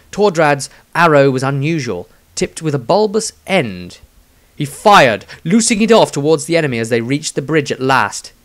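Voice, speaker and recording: A male narrator tells a scripted fantasy story. His voice sits at an average pitch for a man. The delivery is solemn and theatrical, that of an audiobook storyteller. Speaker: author narrating own story.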